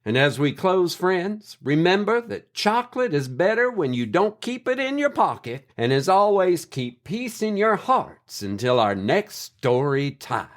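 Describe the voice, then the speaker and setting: A man playing a friendly host of a children's story podcast, speaking to listeners as friends in an animated way. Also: high-pitched, measured pace, few pauses. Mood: cheerful. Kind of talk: scripted storytelling sign-off.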